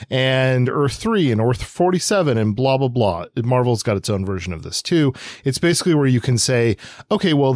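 A man chats as a podcast co-host in an average-pitched voice. He explains casually, wandering into wry asides and digressions. The recording is dry, without room echo. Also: darkish tone.

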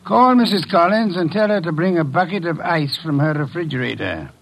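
Imperious older male detective for a vintage radio drama, slightly high voice, creaky edge, pompous and deliberate. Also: animated, fairly bright tone, full character voice. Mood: commanding, assured. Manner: pompous, precise, deliberate diction. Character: imperious, brilliant older male private detective, gruffly dismissive. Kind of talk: 1950s radio drama acting.

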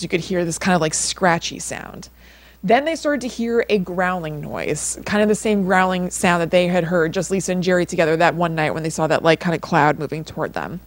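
A woman's voice: medium pitch, dry recording. A woman co-hosting a podcast, telling a story in a casual, conversational way with lots of informal filler phrasing. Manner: informal, peppers speech with like and kind of. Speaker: podcast co-host.

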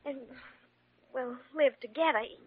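An actress playing a sweet, anxious young Southern belle in a radio drama, bashful and earnest, with an accent from the American South. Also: female voice, sits high, unhurried, slightly rough, no echo. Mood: anxious, confiding. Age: young adult. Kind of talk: vintage radio drama acting. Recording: muffled old radio broadcast.

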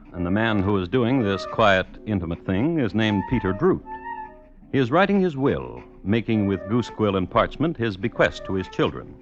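A man hosting and narrating a scripted true crime radio show in a wry, measured, old-time manner. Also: fairly low pitch. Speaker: host and narrator. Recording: dull old radio recording. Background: music.

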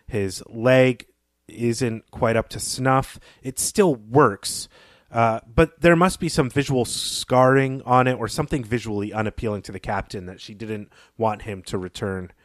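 Guy cohosting a lit podcast, average pitch, thinking thru his analysis as he goes, no room echo at all. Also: steady tone, a little slow, clean studio sound. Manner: reflective, piecing thoughts together as he talks. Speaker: podcast co-host. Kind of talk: conversational literary analysis.

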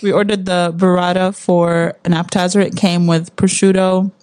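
A woman's voice: on the low side, little pitch movement, normal speed, a little mellow, smooth, dry recording. Female co-host, native Spanish speaker, casual chatty delivery, dry close sound. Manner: chatty, upbeat, many fillers. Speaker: co-host reviewing a restaurant. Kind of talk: casual chat.

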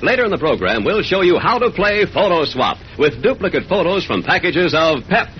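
A man's voice: on the high side, big pitch swings, moderate pace, a little hiss or hum. A man announcing a 1940s radio commercial in a punchy, scripted sales style. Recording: muffled old radio recording.

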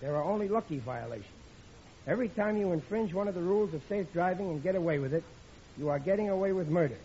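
High-voiced, flustered, blustering American dad. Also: male voice, expressive, fast talker, mellow. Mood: pompous. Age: middle-aged. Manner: stammering, nervous chuckling between phrases. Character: overconfident bumbling middle-aged father and husband, flustered, blustering. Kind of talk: 1950s radio sitcom acting. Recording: muffled, very noisy old radio recording.

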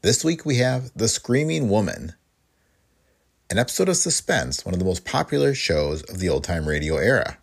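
A man's voice: on the low side, big pitch swings, moderate pace, vocal fry, husky. A man hosting a podcast with scripted, introductory narration. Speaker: podcast host.

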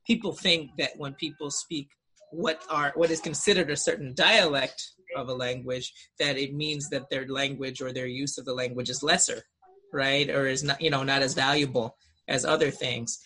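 A man's voice: mid-range voice, steady tone, moderate pace, pushed and bright, slightly rough, poor audio. A man on a video call in a class discussion, speaking thoughtfully with frequent fillers and restarts. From United States. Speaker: student in a linguistics discussion.

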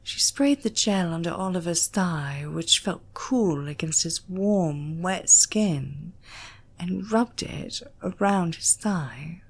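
A woman's voice: on the low side, animated, slow, breathy edge. A woman with a British accent giving a dramatic narration of a scripted story. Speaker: audiobook narrator.

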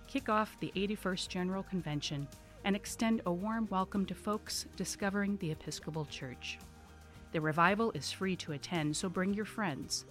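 A woman reading a scripted promotional announcement as an announcer. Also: medium pitch. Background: faint music.

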